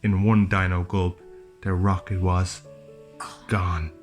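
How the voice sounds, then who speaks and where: A man's voice: sits low, flat delivery, slow. A man reading a rhyming storybook aloud as a father would to his child, with playful, chatty asides. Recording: music playing behind the voice. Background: plucked guitar music.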